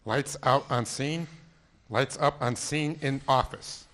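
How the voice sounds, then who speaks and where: A man flatly reading the stage directions of a play. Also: medium pitch, slow.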